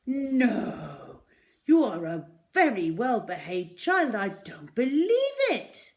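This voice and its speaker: A woman's voice: huge pitch swings, takes it slowly, heavily acted. A woman voicing a kindly, jittery middle-aged male newsagent who gets frantic and pleading. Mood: fussing. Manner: frantic, pleading, high and loud.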